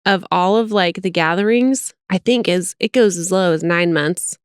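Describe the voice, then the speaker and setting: A middle-aged woman with a General American accent co-hosts a podcast. She talks in a chatty, expressive, self-deprecating way. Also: fairly low pitch, moderate pace, clear, resonant tone, no echo, studio quality. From United States. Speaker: podcast co-host. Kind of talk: casual chat.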